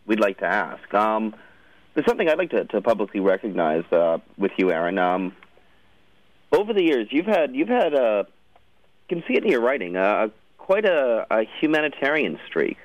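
A man's voice: lowish voice, big pitch swings, normal speed, no room sound, lo-fi sound. A man hosting a conversational interview, putting questions and comments to his guest. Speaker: radio or podcast host interviewing a guest.

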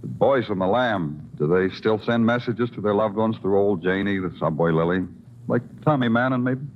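An actor playing a weary, hard-boiled New York police detective in a radio crime drama, brooding, clipped and sardonic. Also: male voice, lowish voice, expressive, normal speed, a little hiss or hum, close to an everyday voice. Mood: accusing. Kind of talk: 1950s radio noir acting and narration. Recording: old radio recording, muffled, music underneath.

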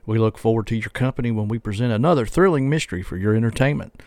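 A man's voice: on the low side, fast talker, darkish tone, dry recording, studio quality. A man hosting a podcast, reading a scripted, courteous sign-off. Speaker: podcast host.

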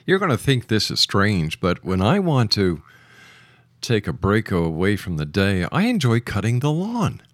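Animated middle-aged male radio host. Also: lowish voice, measured pace, no room sound, clean studio sound. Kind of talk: casual radio interview chat.

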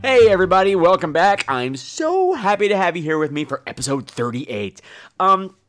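A man hosting a podcast in a casual, chatty monologue, with a campy, theatrical manner and drawn-out emphasis. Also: high voice, very animated, fairly bright tone, soft background music. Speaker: podcast host.

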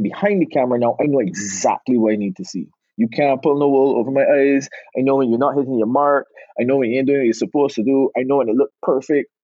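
A middle-aged man from Barbados, a film director and actor, telling animated stories in a casual interview and slipping into relaxed island grammar. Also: medium pitch, slightly rough, dry recording, lo-fi sound.

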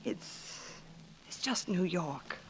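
Wry, plucky woman with lively pitch. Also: very slow, faint music behind, rough recording. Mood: reassuring. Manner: wry, dry wit. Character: wry, plucky, protective older sister from Ohio. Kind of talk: 1940s radio comedy acting and narration. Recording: old 1940s radio broadcast.

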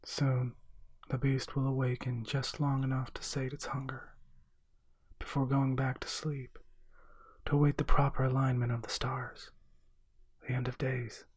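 A man voicing a grave young witch woman in a flat, rote, hushed delivery for an audiobook. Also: mid-range voice, a little slow, pauses often, husky, no room sound, voice pushed up. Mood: grave, ominous.

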